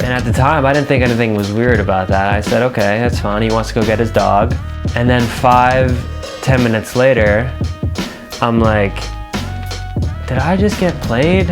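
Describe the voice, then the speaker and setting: American man telling a story over music. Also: fairly low pitch. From New York. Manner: conversational, re-enacts dialogue. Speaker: filmmaker and narrator. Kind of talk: casual personal storytelling.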